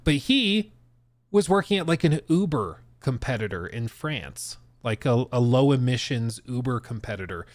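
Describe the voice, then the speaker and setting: Male podcast host, chatty and informal livestream commentary, slangy, slightly low voice, dry close sound. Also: sing-song, moderate pace, no room sound. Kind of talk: casual livestream gaming news commentary.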